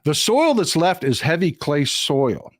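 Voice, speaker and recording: A Texan man with a fairly high voice, folksy and emphatic. He hosts a casual live stream and swears casually, with a dry close sound. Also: very animated, unhurried, no echo.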